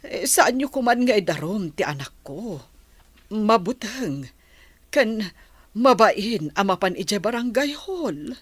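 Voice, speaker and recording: A woman's voice: mid-range voice, big pitch swings, slow pace, pauses often, slightly rough, dry recording, full character voice. A woman playing a worried, apologetic mother who pleads humbly and hesitantly for her child in a radio drama. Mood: anxious, pleading. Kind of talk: Filipino radio drama acting.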